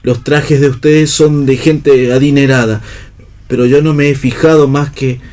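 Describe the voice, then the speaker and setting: Middle pitch, man acting earnest gallant suitor, theatrical radio drama. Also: fairly even intonation, moderate pace, darkish tone, lightly acted. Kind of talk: theatrical read radio play.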